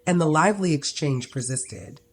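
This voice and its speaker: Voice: mid-range voice, lively intonation, normal speed. A narrator of ambiguous sex reading a scripted dramatic story. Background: music.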